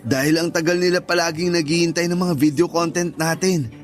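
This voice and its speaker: An actor with a Filipino accent playing an earnest, placating young boyfriend in a radio drama. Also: male voice, a little high, fairly even intonation, brisk, close to an everyday voice. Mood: casual, eager. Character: defensive, eager-to-please young boyfriend, a content creator. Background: music.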